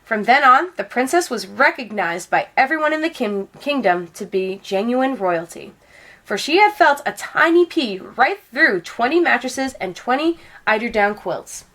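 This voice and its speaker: A woman reading a children's storybook aloud as an expressive narrator. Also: fairly high pitch, normal speed. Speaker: storybook reader.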